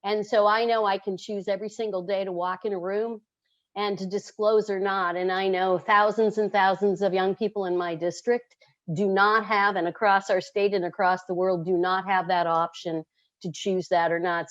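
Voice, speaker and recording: A woman speaking reflectively and personally on a panel discussion. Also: medium pitch. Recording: dull lo-fi sound.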